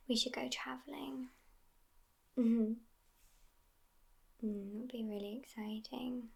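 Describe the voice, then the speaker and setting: A woman voicing a sassy, affectionate immortal vampire girlfriend in an ASMR roleplay, hushed and often whispering, with a languid delivery. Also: fairly high pitch, creaky, heavily acted. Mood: affectionate, relaxed.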